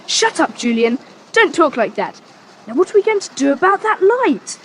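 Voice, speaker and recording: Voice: very high-pitched, very animated, moderate pace, a bit bright, slight background noise, exaggerated voice. A performer playing a game but cautious young schoolboy adventurer in a children's audiobook drama, earnest and well-spoken. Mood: uneasy, friendly.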